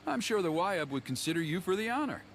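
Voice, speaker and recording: A man's voice: high voice, very animated, fast talker, heavy background noise. A man playing an earnest, dutiful tribesman in a video game, with formal, solemn phrasing. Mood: approving, grateful. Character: earnest, dutiful tribesman seeking a ceremonial champion.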